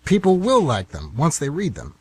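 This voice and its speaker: Man playing a pompous middle-aged man, animated, slightly husky. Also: a little high, moderate pace, heavily acted. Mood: indignant, lofty. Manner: pompous, grandiloquent, indignant. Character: pompous, defensive 52-year-old aspiring novelist man. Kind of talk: amateur radio comedy play acting.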